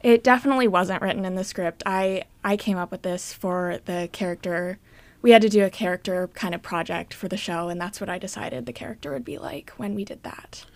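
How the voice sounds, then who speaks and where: A woman's voice: mid-range voice, brisk, gravelly, no room sound. A teenage girl answering interview questions casually as a cast member, recorded close and dry.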